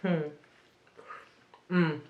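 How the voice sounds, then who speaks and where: A woman's voice: drawn-out words, reverberant, narrow, tinny audio. A young woman whose first language is Ukrainian, a radio news presenter chatting and laughing her way through a hot wing challenge. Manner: giggly, rambling, reacting to spicy food. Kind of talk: casual chat during a hot wing challenge.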